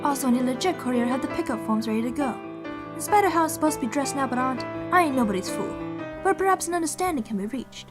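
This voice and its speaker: A woman's voice: high voice, animated, quick pace, over music. A woman voicing a sardonic, violent, work-shy bureaucrat, brash and wordy, in a fan radio play. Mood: brusque sarcasm.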